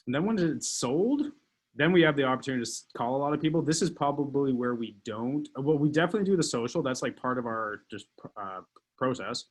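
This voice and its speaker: A man's voice: mid-range voice, lively intonation, a little fast. A man hosting a call, rambling casually with many fillers.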